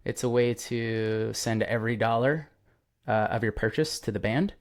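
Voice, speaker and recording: A man's voice: fairly low pitch, normal speed. A young man hosting a podcast, chatting casually and enthusiastically, with lots of fillers and restarts. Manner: enthusiastic, rambling with many fillers. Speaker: podcast host. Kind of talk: casual interview chat.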